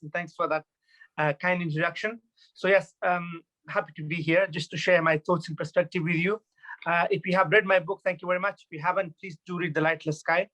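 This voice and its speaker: A man from Afghanistan in his thirties shares his thoughts as a guest speaker. He talks fast and earnestly, often restarting sentences and dropping in fillers. His voice sits a little high for a man. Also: sharp tone, poor audio. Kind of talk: personal talk at an online event.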